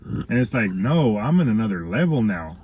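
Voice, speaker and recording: A man hosting a casual conversational interview, talking haltingly and repeating his words. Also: fairly low pitch, big pitch swings, a little mellow, husky. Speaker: interviewer, host.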